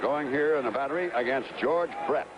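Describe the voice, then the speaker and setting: A man doing live baseball play-by-play, briskly reeling off statistics and calling each play as it happens. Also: mid-range voice, lo-fi sound. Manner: brisk, reels off stats and calls plays. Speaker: play-by-play announcer. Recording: 1970s broadcast over loud crowd noise.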